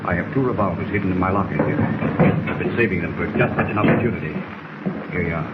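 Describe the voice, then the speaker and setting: An actor playing an earnest, commanding submarine captain in a 1940s radio drama, speaking with urgency and decision. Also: male voice, low-pitched, fast, lo-fi sound, lightly acted. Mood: urgent. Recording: very noisy 1940s radio recording.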